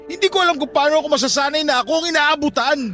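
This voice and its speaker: A man's voice: very high-pitched, very fast, sharp tone, faint music behind. An actor playing a struggling grown son in a radio drama, defensive and bitter, ashamed of how he earns money. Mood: anguished. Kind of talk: Tagalog radio drama acting.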